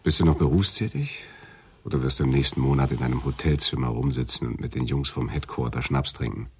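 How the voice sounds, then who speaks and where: An actor playing a slick, bitter gambler and cocky schemer in a hard-boiled radio drama, with a casual, insinuating manner. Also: male voice, extremely low pitch, a little fast, breathy edge, full character voice.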